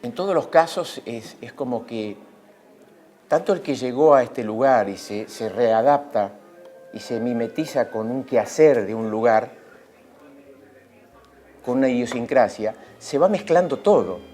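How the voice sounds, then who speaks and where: A man's voice: medium pitch, lively intonation, a little slow, long pauses, dark tone, soft background music. A man giving a reflective interview answer, searching for words as he muses.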